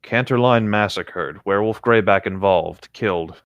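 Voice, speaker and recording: A man's voice: lowish voice, moderate pace, dry recording, highs cut off. A man reading a story aloud casually and a little theatrically, stumbling and correcting himself along the way. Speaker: co-reader on a fan fiction riffing show. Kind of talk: casual storybook-style narration with joking asides.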